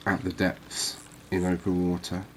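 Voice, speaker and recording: Low voiced guy doing cozy asmr gaming commentary, really slow and soothing, bit of background ambience. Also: slight background noise, poor audio. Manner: unhurried, calming, reassuring. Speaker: host of a cozy gaming channel. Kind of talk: soft-spoken ASMR game commentary.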